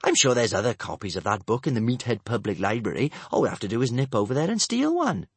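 A man voicing a bold, breezy young girl burglar who talks fast and excitedly. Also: mid-range voice, slightly rough, dry recording.

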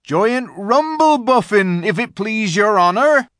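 A man's voice: sits high, expressive, slow, fairly bright tone, dry recording, clean studio sound, voice pushed up, full character voice. A man voicing a huge, good-natured storybook giant, humble and polite, with rustic, ungrammatical speech. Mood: eager, deferential.

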